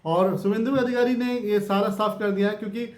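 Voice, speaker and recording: A man's voice: high voice, fairly even intonation, a little fast, darkish tone. A man giving informal, unscripted news commentary, explaining points repetitively and checking in with rhetorical tags. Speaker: host of a news discussion channel. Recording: roomy, echoing room sound.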